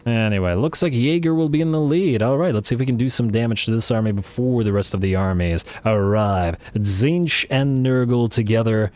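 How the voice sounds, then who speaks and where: A man hosting a let's play, giving chatty, excitable play-by-play of a strategy battle while losing his voice a little. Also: mid-range voice, fairly quick, hardly any pauses. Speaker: gaming channel host.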